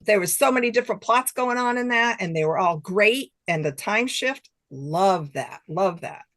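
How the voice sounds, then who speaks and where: A woman's voice: medium pitch, expressive, normal speed, fairly bright tone. A woman author chatting casually as an interview guest, enthusiastic and repeating phrases for emphasis. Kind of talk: casual chat.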